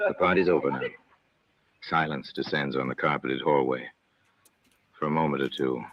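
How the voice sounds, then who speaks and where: An actor playing an ominous storyteller setting a hushed scene in an old radio drama, on a dull recording. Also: male voice, very deep voice, normal speed, no room sound.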